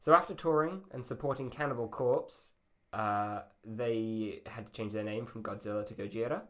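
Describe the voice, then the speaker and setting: A man's voice: lowish voice, moderate pace, a bit bright. A man hosting a podcast in a casual, rambling and self-deprecating way. His voice sounds slightly feminine. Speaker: podcast host. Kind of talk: casual chat.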